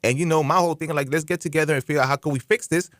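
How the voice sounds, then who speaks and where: Fast-talking man with a bright, forceful voice. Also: a little high, steady tone, no room sound, studio quality. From Cuban background. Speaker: podcast host. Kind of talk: casual group chat. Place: community media studio.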